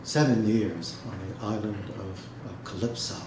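A man giving a dramatic literary reading and talk to an audience, speaking in a measured way with pauses. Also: lowish voice. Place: indoor hall, seated before an audience. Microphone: microphone on a stand, recorded by a distant camera. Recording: echoey room, noisy background.

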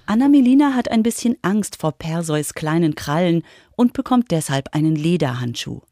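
A woman's voice: on the low side, very animated, moderate pace, warm tone, no echo, studio quality. A woman reporter reading scripted narration for a children's radio feature.

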